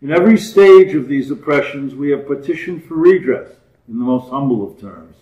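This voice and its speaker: A man's voice: mid-range voice, expressive, normal speed. Echoey, muffled man reading formally. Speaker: volunteer reader. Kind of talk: formal read-aloud of a historical document. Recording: echoey room, dull muffled sound.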